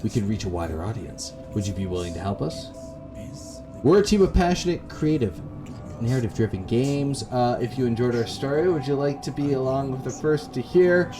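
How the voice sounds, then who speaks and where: A man's voice: mid-range voice, expressive, a little fast. A man giving casual, laid-back commentary as he hosts a video game playthrough. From Canada. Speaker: gaming video host. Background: atmospheric game music.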